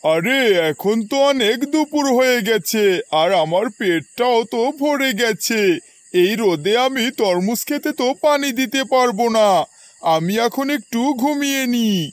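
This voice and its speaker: Squeaky, boastful young crow. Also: male voice, animated, measured pace, faint music behind. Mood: lazy. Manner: boastful, scheming. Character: lazy, boastful, sly young male crow. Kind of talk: children's cartoon voice acting.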